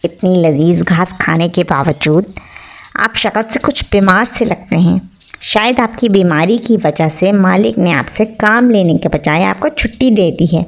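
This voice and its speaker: A woman voicing a sly, hungry male wolf in a children's story, scheming and full of smooth flattery. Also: fairly low pitch, a little slow, pitched below the performer's own voice. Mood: falsely friendly.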